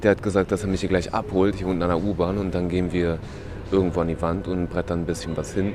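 A man hosting a podcast, talking in a relaxed, chatty way with slang and fillers while he has a cold. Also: deep voice, quick pace, background noise. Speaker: podcast host. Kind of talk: casual podcast chat.

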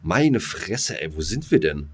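A man's voice: sits low, sing-song, measured pace, husky. A man co-hosting a podcast in casual, slangy, rambling and jokey banter. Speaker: podcast co-host. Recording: music playing under the voice.

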